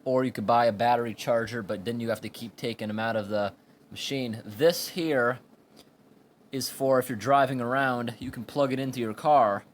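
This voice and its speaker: Male product reviewer, average pitch, plain and halting explainer delivery, amateur indoor sound with slight noise. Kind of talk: informal hands-on product review. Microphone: handheld camera or phone microphone. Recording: amateur recording with background room noise.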